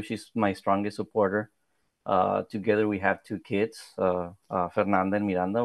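Hesitant Spanish-accented man, gravelly. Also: lowish voice, no echo. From South Texas. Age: 30s. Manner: hesitant, many fillers and restarts; modest, soft-spoken. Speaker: political candidate. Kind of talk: podcast interview.

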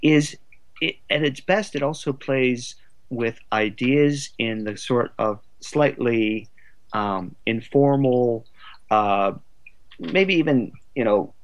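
A man's voice: mid-range voice, slow pace. A man speaking as an interview guest in a reflective, discursive and articulate conversational way. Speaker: podcast guest, writer.

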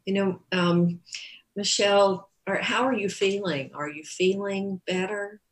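A woman giving halting, grateful closing remarks at a church service, with many pauses and fillers. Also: medium pitch, roomy sound.

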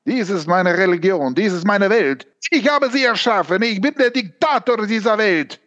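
A man's voice: high-pitched, animated, moderate pace, fairly bright tone, highs cut off. A man playing a vain, megalomaniac surrealist painter in an audio drama, declaiming pompously and stretching out his words. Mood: boastful, manic. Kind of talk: theatrical audio drama acting.

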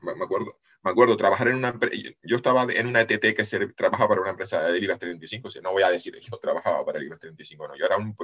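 A man's voice: low voice, racing, few pauses, fairly bright tone, husky, dull audio. A man from the Canary Islands with a Canarian accent, a podcast guest chatting casually and repeating words as he tells stories. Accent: Canarian Spanish. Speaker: podcast guest, geopolitics analyst. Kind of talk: casual chat.

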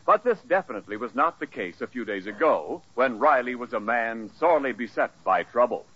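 A man with a husky voice narrating a scripted 1940s radio sitcom as its announcer. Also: mid-range voice, lively intonation, brisk, sharp tone. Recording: muffled vintage radio recording.

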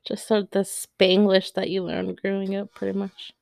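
A woman's voice: medium pitch, monotone, gravelly, no room sound, clean studio sound. A young woman co-hosting a casual couple's podcast, telling stories candidly. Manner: candid, storytelling, quick laughs. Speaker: podcast co-host.

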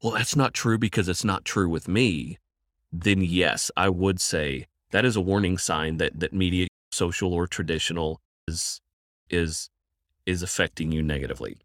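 A middle-aged man, a counselor and evangelist, answering in a podcast interview in a measured, thoughtful way and repeating words as he thinks. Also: low-pitched, fairly bright tone, no room sound, clean studio sound.

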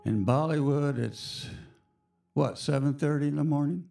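Hoarse guy hosting a spiritual radio show, slow and chatty, gravelly, soft music behind. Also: on the high side. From United States. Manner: slow, reflective, chatty with the audience.

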